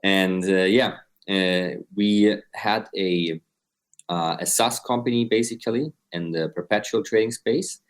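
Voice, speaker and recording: Deep-voiced Austrian man in his twenties. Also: unhurried. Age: 20s. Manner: explains step by step, often adds "right?". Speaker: guest, crypto company co-founder. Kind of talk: explanatory interview answers.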